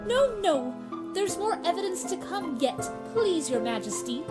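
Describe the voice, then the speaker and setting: A woman's voice: very high-pitched, big pitch swings, measured pace, over music, heavily acted. A woman in a radio play acting as a fussy, deferential court herald with a formal delivery. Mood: eager, officious.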